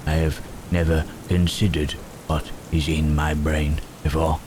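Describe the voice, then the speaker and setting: Gentle, melancholy monster, played by a man for ASMR roleplay: extremely low, slow, formal and hesitant, rain and thunder behind. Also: little pitch movement. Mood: pensive. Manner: halting, thoughtful, old-fashioned formal phrasing with murmured tags. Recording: rain and thunderstorm noise throughout.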